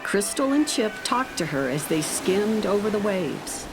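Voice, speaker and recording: A woman's voice: mid-range voice, big pitch swings, a little slow, music in the background, poor audio. A woman narrator reading a scripted children's story.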